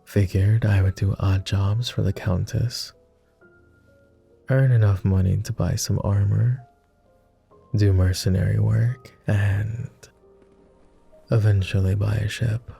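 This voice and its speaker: A man voicing a gentle, devoted vampire, speaking softly and formally in an intimate roleplay ASMR style. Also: lowish voice, little pitch movement, takes it slowly, pauses often, soft background music, full character voice. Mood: wistful. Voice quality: soft, gravelly. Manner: posh, formal, tender. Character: gentle, teasing male vampire, devoted and wistful.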